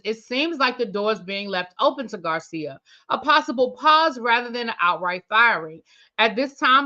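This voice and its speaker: Chatty woman reviewer with a bright voice. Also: medium pitch, muffled recording. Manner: casual, chatty asides between read-out passages. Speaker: reality TV reviewer, channel host. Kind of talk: livestream review, reading an article aloud.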